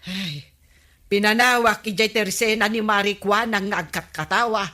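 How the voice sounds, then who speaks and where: A woman's voice: medium pitch, normal speed, pushed and bright, lightly acted. An actress playing an easily irritated, complaining village woman in an Ilocano radio comedy drama. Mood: grumbling.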